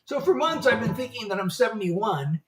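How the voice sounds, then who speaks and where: A man's voice: sits high, lively intonation, moderate pace. A man in his seventies, a singer-songwriter rambling in casual livestream chat and laughing often. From United States. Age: 70s. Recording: roomy, distant mic, guitar music behind.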